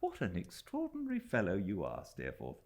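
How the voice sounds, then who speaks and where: A man voicing an earnest, admiring young British gentleman in a classic audiobook, speaking sincerely and eagerly. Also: on the low side, wildly swooping pitch, moderate pace, voice pushed up. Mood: earnest, amazed. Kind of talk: dramatised classic audiobook dialogue.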